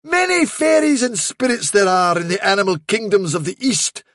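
A man's voice: high voice, big pitch swings, normal speed, a bit bright, dry recording, studio quality, heavily acted. A man voicing a cunning male trickster rabbit posing as a fairy, in a storybook reading, delivering sly, solemn, dramatic warnings.

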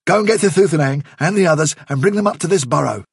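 A man's voice: a little high, expressive, fast, gravelly, slightly rough, no echo, clean studio sound, voice pushed up, exaggerated voice. A man voicing a tough male rabbit fighter in an audiobook, terse, urgent and decisive.

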